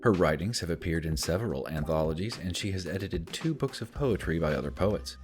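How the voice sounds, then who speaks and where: Deep-voiced man reading over music. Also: expressive. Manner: slow, measured reading. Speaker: podcast host. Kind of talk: scripted introduction read. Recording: clear music bed behind.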